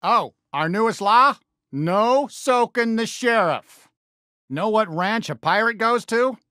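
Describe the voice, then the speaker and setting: A man voicing a friendly, earnest, excitable cartoon cowboy sheriff for a video game, with an American accent and a folksy drawl full of cowboy slang. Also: high voice, slow, no echo, clean studio sound, full character voice. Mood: cheerful, joking. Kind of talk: animated game character voice lines.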